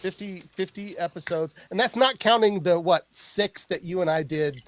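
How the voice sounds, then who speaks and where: A middle-aged man chats as a podcast host in a slightly higher-than-usual voice. He rambles, restarts sentences and fills gaps with ums and laughs. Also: normal speed, fairly bright tone. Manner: rambling, lots of fillers and restarts, laughs often. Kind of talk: casual chat.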